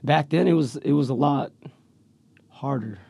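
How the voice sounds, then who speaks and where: A man with a raspy voice, talking slangy and laid-back as a rapper guest in a casual interview, with lots of fillers. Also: on the high side, unhurried, a little mellow, dry recording. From Central Valley, California.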